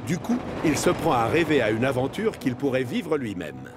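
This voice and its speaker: A man's voice: medium pitch, sing-song, normal speed, noisy background, close to an everyday voice. A man with a standard French accent narrating a children's cartoon in a scripted storytelling style. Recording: music behind the voice.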